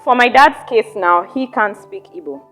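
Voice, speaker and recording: A young woman from Nigeria hosting a casual podcast chat, animated and chatty, often trailing off and restarting her thoughts. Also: on the high side, normal speed, soft background music. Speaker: podcast host.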